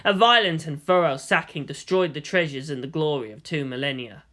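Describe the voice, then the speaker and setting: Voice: medium pitch, fairly quick, sharp tone. A narrator reading a scripted fan fiction story in audiobook style.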